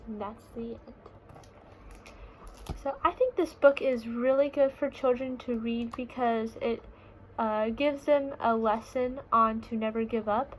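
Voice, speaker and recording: A woman with a high voice reads a storybook aloud to young children. Her delivery is slow, expressive and teacherly, aimed at drawing listeners in. There is clear noise in the background. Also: poor audio. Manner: expressive, teacherly, pausing to ask listeners questions. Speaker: read-aloud reader. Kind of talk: reading a picture book aloud with questions to young children.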